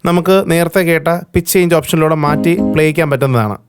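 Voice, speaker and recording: A man's voice: on the high side, quick pace, loud music behind the voice. A man with a Malayali accent explaining and demonstrating, as the presenter of a keyboard tutorial. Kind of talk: explanatory product demo talk.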